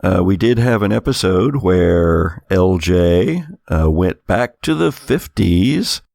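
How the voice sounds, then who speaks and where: A man hosting a game podcast, his deep voice gravelly and scraped from coughing, talking off the cuff. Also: lively intonation, slow pace, darkish tone, no echo, studio quality. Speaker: host and game master. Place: home recording studio.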